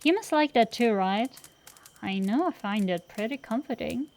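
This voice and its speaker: A woman's voice: on the high side, lively intonation, moderate pace, darkish tone. A woman voicing a brash, teasing tsundere dragon girl sorceress who gets flustered easily, in an intimate ASMR roleplay. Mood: softening, awkward.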